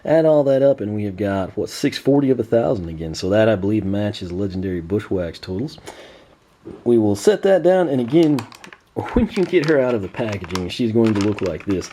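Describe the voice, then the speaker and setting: Man, hoarse and losing his voice, low mellow tone, chatty unboxing host. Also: very animated, normal speed, slight background noise. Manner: chatty, frequent "uh" fillers, clears throat. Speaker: toy collector unboxing host. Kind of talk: casual unboxing commentary.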